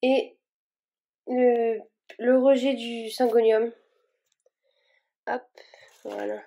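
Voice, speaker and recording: A woman's voice: on the high side, level tone, extremely slow, gravelly, dark tone. A woman vlogging casually as she repots her plants, talking in a rambling way with plenty of fillers and restarts. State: a bit warm and tired from the work.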